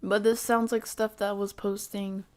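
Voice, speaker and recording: A woman streamer giving casual reaction commentary, measured and hedging as she thinks aloud. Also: mid-range voice, flat delivery.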